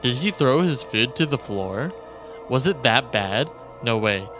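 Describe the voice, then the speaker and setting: A man's voice: medium pitch, animated, unhurried, fairly bright tone. A man streaming a game, expressively reading visual novel narration aloud. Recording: dry close mic, faint game music.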